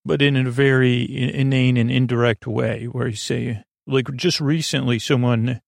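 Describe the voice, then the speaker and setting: A man with a creaky voice hosting a bedtime podcast in a meandering, halting monologue, often stammering and restarting as he drifts into tangents. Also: medium pitch, steady tone, no room sound, studio quality. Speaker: podcast host.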